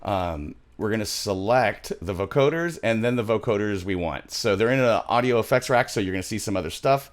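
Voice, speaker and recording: A middle-aged man presenting a music software tutorial in a casual, loose, thinking-aloud way, recorded on a studio mic in a small home room. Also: on the low side, animated, brisk, hardly any pauses. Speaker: music production tutorial host.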